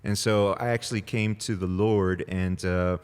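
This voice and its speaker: A man's voice: on the low side, steady tone, moderate pace, darkish tone, clear, resonant tone. A man in his forties, a Spanish native speaker from El Salvador, chatting easily as a pastor guest on a podcast, with many fillers and laughing asides. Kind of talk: casual interview conversation. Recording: long reverb, echoey room.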